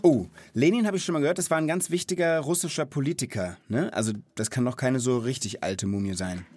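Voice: medium pitch, fairly quick, darkish tone. A host chatting casually on a children's podcast, explaining things simply and asking follow-up questions.

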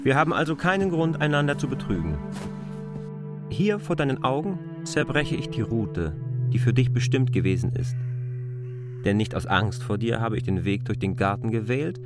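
A man's voice: medium pitch, lively intonation, moderate pace, over loud music, lightly acted. An actor in a literary radio drama playing a proud, domineering master who speaks formally and argumentatively to his former servant. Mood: frank, condescending. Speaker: lead actor.

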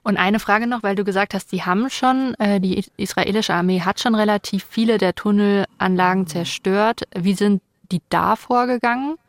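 A woman speaks as a podcast host, at a middling pitch. She talks in a conversational news style, asking questions and addressing listeners directly. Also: normal speed, hardly any pauses, no echo, studio quality.

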